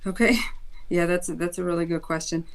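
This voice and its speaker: A woman in her thirties from Iraq, a guest on an interview, telling her life story in an earnest, animated way. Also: fairly low pitch, fairly quick, creaky. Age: 30s. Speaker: guest, school board candidate.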